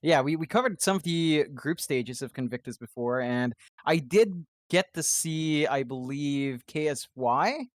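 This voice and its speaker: A young man with a slightly high voice, recorded dry and close on a podcast mic. He chats in a relaxed, easygoing way, chuckling and agreeing as he talks about games. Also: unhurried, hardly any pauses, dry recording. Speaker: podcast co-host. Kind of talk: casual podcast chat.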